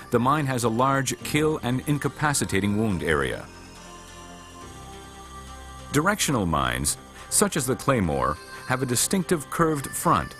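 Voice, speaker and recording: A man reading scripted instructional narration for a military training film in a measured, formal, matter-of-fact way. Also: lowish voice, a bit bright.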